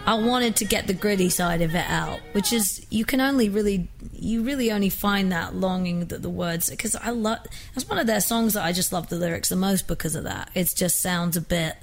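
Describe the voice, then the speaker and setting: A woman's voice: mid-range voice, a little fast, vocal fry, hardly any pauses, soft background music. A young woman from England with an accent blended with American, chatting casually as a singer guest in an interview, often restarting her sentences. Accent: mixed English and American.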